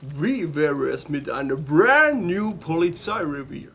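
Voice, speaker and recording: An actor playing a scheming, indignant businessman in an audio drama, speaking German with an English accent and smooth-talking in mixed-in English phrases. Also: a little high, lively intonation, slow pace, a bit bright, full character voice. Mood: slick, insistent. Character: slick, scheming businessman planning a hotel, indignant.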